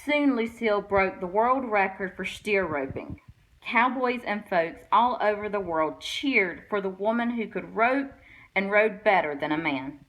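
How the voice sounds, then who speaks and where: A woman's voice: medium pitch, lively intonation, unhurried, fairly bright tone, slight background noise, low-quality recording. Woman reading a children's picture book aloud. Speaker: guest read-aloud reader.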